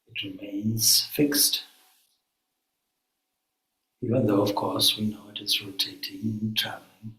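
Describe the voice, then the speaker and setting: A man leading a guided meditation as a spiritual teacher, speaking calmly in measured phrases with frequent pauses. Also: on the low side. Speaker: swami, guided meditation leader. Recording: echoey room.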